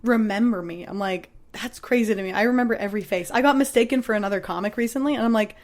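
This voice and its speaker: A woman comedian co-hosting a podcast, telling stories in casual chat in an animated, crass, quick-joking way. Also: medium pitch.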